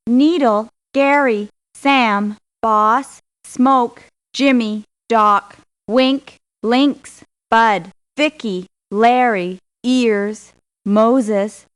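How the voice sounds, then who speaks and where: An actress playing a proud, aggrieved mercenary for hire in a video game, with a curt, assertive delivery. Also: female voice, a little high, very slow, smooth. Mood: flat roll call. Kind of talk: scripted video game voice lines. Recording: lo-fi muffled game clips in places.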